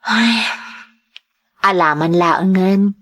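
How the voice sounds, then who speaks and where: Actress as courted, hesitant young-love heroine for an audio drama. Unhurried delivery, medium pitch, Ilocano Filipino accent. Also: female voice, a bit bright. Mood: coy. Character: Isabela, hesitant woman being courted in a romance drama.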